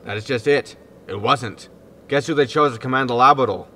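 Male actor as disgraced space captain, exasperated, indignant, sharp bright voice, medium pitch, sci-fi radio comedy. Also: fairly quick, a little hiss or hum, natural voice. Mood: grumbling, resigned. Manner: indignant, grumbling. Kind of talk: sci-fi sitcom audio drama acting.

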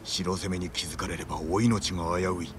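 An actor playing an earnest young samurai leader, speaking formally with restrained determination. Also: male voice, sits low, fairly even intonation, brisk, background noise, close to an everyday voice. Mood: resolute. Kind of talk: dramatic game dialogue. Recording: clear outdoor ambience behind voice.

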